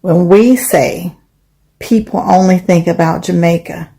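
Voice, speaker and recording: A woman's voice: lowish voice, measured pace, gravelly, mellow. A woman giving blunt, teasing opinions in a casual social media video. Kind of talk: casual commentary.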